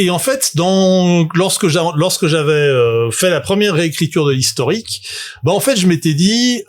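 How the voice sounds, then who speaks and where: A man, rambling through a casual livestream full of fillers and self-mocking asides. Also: fairly high pitch. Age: 40s. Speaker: tabletop game author and streamer.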